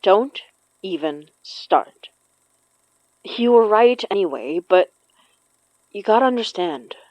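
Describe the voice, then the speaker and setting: A woman's voice: mid-range voice, expressive, takes it slowly, no room sound, muffled recording. A woman playing a determined, protective exorcist in a horror audio drama, earnest and blunt in manner. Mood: weary, blunt.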